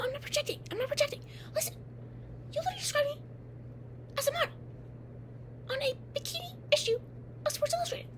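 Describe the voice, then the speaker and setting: A young woman playing a haughty, jealous teen vampire girl in a comedic roleplay, blunt and sarcastic with whispered asides, recorded on a webcam in a dim bedroom. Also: extremely high pitch. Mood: defensive. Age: young adult. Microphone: webcam at close range. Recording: noisy home webcam audio.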